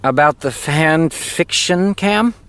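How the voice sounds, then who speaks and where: An actor playing a jaded, defensive television writer in a naturalistic audio drama. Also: male voice, fairly high pitch, takes it slowly, a bit bright, clear, resonant tone. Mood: defensive, irritated. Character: jaded, defensive male TV show creator, weary of his hit. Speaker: actor in scripted fiction podcast.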